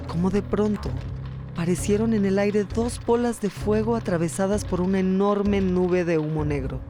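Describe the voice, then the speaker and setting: A narrator tells a scripted documentary story for a podcast. The voice is soft-edged and relaxed, sitting in a middle register. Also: mid-range voice.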